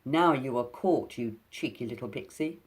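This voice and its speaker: A woman's voice: fairly low pitch, expressive, normal speed, voice pushed up, close to an everyday voice. A woman voicing a scheming, boastful male creature with a duck's head in a storybook reading. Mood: gloating. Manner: bossy, scheming, loud. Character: sly duck-headed male creature, cat-tailed.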